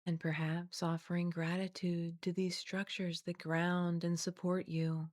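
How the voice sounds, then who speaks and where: Female meditation guide, slightly low voice, soothing and unhurried, very level intonation, close dry recording. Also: little pitch movement, dry recording. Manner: gentle, soothing, unhurried delivery with long pauses. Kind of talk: guided body scan meditation, scripted and slowly spoken.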